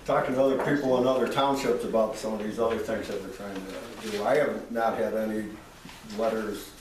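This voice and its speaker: A man's voice: on the low side, fairly even intonation, a little fast, a crowd in the background. A man at a town board table rambles through his answer and restarts as he goes. He is far from the mic in a very echoey hall, with people murmuring around him. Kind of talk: off-the-cuff reply at a public meeting.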